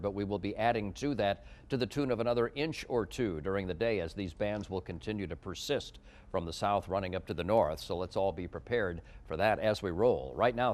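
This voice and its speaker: A man's voice: lowish voice, darkish tone. A man working as a TV meteorologist, presenting a live forecast in a conversational, ad-libbed way.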